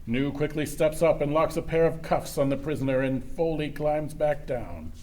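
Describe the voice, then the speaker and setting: A man's voice: a little high, normal speed, darkish tone. A man reading scripted narration for a radio play.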